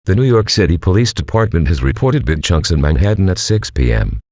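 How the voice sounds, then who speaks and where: A man's voice: deep voice, very animated, fairly quick, a little mellow, dry recording. A man's robotic, impersonal voice reading a formal scripted evacuation bulletin as an emergency announcer.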